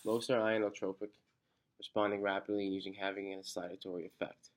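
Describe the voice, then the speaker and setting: Congested man reading notes flatly. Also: on the low side. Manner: halting, restarts on technical words. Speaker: student presenter. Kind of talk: reading a study outline aloud.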